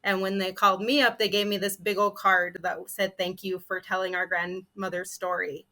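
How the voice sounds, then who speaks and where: Bright-voiced woman telling stories. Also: medium pitch, little pitch movement, moderate pace. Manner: casual, peppers talk with "like". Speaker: panelist, comic artist and illustrator. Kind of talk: personal storytelling in a panel discussion.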